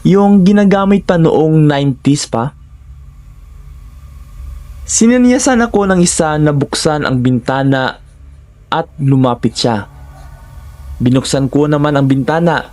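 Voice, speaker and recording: A man's voice: fairly high pitch, measured pace, long pauses, a little mellow. A Filipino man narrating a first-person horror story in a dramatic, suspenseful storytelling manner.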